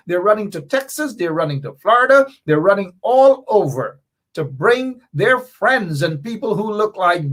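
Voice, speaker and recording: A Guyanese-accented man in middle age runs a political talk show, speaking in a high register for a man. His pitch swings widely as he hammers home his points and says them again for emphasis. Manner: animated, emphatic, repeats key points. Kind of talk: political commentary monologue.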